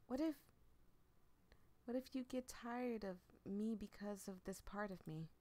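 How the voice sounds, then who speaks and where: A woman's voice: medium pitch, unhurried, mellow, close to an everyday voice. A woman voicing a sincere, anxious young girlfriend in a visual novel, earnest and hesitant. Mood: insecure. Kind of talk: visual novel read aloud.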